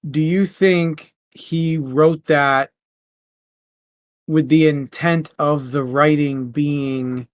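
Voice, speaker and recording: A man in a casual book discussion, informal and halting as he searches for his words. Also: a little high, fairly even intonation, no room sound.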